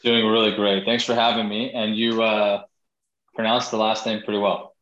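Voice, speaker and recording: A man's voice: fairly low pitch, flat delivery, normal speed, fairly bright tone, echoey room, dull audio. A man, a startup founder, answering interview questions over a video call in a thoughtful way with many fillers.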